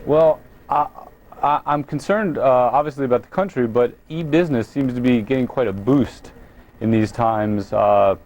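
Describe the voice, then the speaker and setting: A man with an American accent answering as an executive guest in a news interview, explaining things in a hesitant, halting way. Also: medium pitch, darkish tone. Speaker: company president, interview guest.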